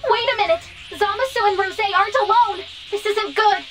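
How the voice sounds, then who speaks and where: An actress playing a small, brisk, worried female deity in an anime video game, urgent and explanatory. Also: very high voice. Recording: music behind.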